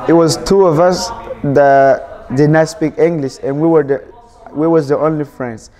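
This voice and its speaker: A man's voice: fairly high pitch, fairly even intonation, a little slow, dark tone, a faint crowd, poor audio. A teenage man from Burkina Faso, an immigrant student, answering interview questions earnestly, often restarting his sentences.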